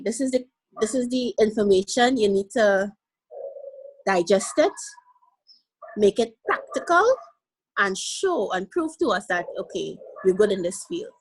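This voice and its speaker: A young woman from Guyana with a mixed Guyanese and Caribbean accent, talking as a guest in a casual interview in an animated, playful way and laughing easily. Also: mid-range voice, slightly rough, rough recording.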